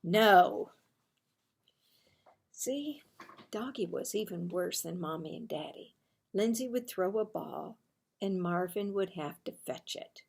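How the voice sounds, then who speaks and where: A woman reading a children's storybook aloud in a deliberate, expressive storytelling way. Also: lowish voice, vocal fry, husky.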